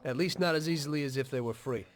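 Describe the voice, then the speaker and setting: Man playing a measured American ex-mobster, medium pitch. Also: natural voice. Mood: frank, guarded. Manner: measured, plain-spoken, understated. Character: weary, matter-of-fact ex-mobster telling his life story. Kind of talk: dramatic video game dialogue and narration.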